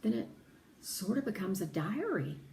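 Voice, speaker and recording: A woman narrating a children's novel aloud with deliberate, dramatic phrasing, in a roomy recording. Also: mid-range voice, wildly swooping pitch, dark tone. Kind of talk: expressive children's audiobook narration. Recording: roomy echo, faint background noise.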